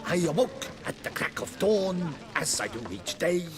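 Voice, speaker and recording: An actor playing a plaintive rustic fisherman in a video game, telling his tale in a drawn-out, dramatic manner. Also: sits high, sing-song, music in the background. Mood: plaintive storytelling.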